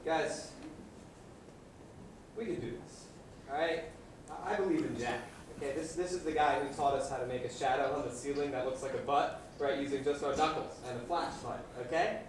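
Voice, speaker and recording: A woman's voice: very deep voice, fairly quick. A young woman playing an eager, loyal, slightly dim little boy in a student stage comedy, talking like a pep coach. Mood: rallying. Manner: pep-talking, repeats right and okay. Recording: very echoey hall, distant mic, faint noise.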